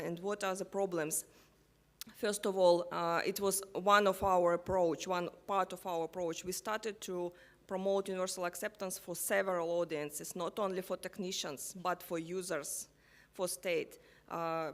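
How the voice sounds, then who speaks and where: Halting woman panelist. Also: fairly low pitch. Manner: halting, frequent pauses and restarts. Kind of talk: panel discussion.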